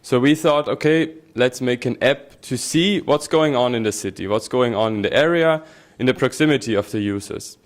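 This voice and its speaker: A man presenting his app in a startup pitch, explaining it through examples and imagined user thoughts. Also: moderate pace.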